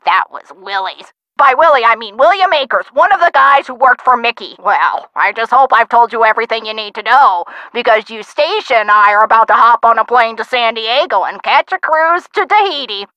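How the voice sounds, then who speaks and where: A feisty, scatterbrained old woman from a video game, chatty and full of folksy exclamations. Her voice is bright and highish, swinging up and down in animated swoops as she loses and finds her thread. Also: no echo, lo-fi sound. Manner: chatty, forgetful, folksy exclamations, drawn-out words. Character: feisty, scatterbrained, card-playing elderly woman with old-time slang. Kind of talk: video game character acting.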